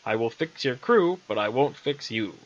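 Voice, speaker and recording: A man's voice: mid-range voice, animated, normal speed, highs cut off, close to an everyday voice. A man voicing a wry, casual female witch who offers curse removal in a read-aloud story. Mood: chanting.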